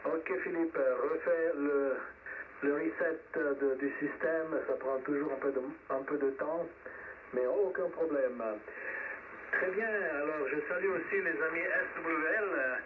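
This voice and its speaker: A man's voice: on the high side, a little slow, far from the mic, narrow, tinny audio. Man hosting over a thin, noisy shortwave radio. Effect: shortwave radio transmission. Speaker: net controller of an amateur radio round table. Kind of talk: ham radio net hosting. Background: radio static.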